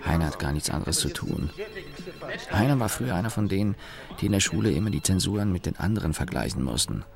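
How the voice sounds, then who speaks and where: A man's voice: sits low, lively intonation, fast talker, gravelly. An actor playing a cynical, disgruntled office engineer in a German radio play, dryly sardonic as he lists grievances. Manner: dry, sardonic, enumerating grievances.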